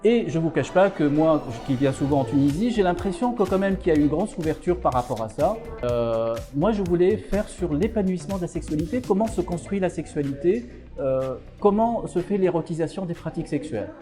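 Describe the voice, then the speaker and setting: A man's voice: fairly high pitch, expressive, fairly quick, music in the background. A middle-aged man from Algeria, a psychiatrist and author, answering interview questions with many fillers and restarts.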